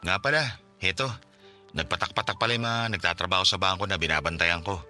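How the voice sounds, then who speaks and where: A Filipino man voicing a loyal friend and cousin in a radio drama, caring at first and quick to anger at injustice. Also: low-pitched, sharp tone, faint music behind. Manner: flares into angry outbursts. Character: loyal male friend and cousin, bank security guard, hot-tempered about injustice. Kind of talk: Tagalog radio drama acting.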